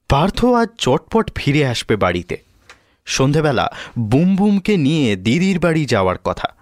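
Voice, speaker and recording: A man narrating a scripted audio story in a dramatic, deliberate manner. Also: a little high, darkish tone, no room sound, clean studio sound.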